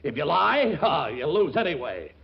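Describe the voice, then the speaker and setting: An actor playing a scheming, impatient middle-aged father in a 1940s radio comedy, badgering and excitable. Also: a little high, moderate pace, gravelly. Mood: gloating. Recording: muffled 1940s radio broadcast recording.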